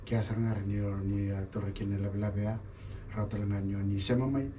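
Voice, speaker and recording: A man's voice: fairly low pitch, fairly even intonation, moderate pace. A man co-hosting a radio talk show, steadily explaining how to take part. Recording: distant mic with faint noise.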